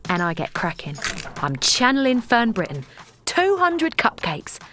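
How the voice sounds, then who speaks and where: A woman's voice: a little high, sing-song, a little slow, background noise. An actress playing Linda, a chatty, bubbly young mum who narrates her campaign in an audio drama. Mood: cheerful. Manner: chatty, bubbly, laughing often. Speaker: narrator and lead character.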